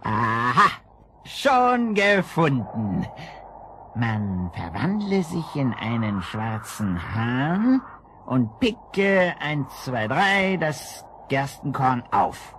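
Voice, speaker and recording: An actor playing an old evil sorcerer in a children's radio play, blustering and vengeful, with theatrical exclamations and gloating. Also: male voice, mid-range voice, sing-song, fairly bright tone, exaggerated voice. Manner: theatrical, exclaiming, drawn-out cackling. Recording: noisy vintage children's record.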